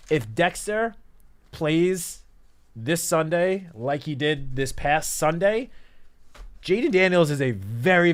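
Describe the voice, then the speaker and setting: A man co-hosting a sports radio show, laying out statistics and building a detailed argument. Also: fairly high pitch. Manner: analytical, stat-driven, builds an argument. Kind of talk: sports analysis talk.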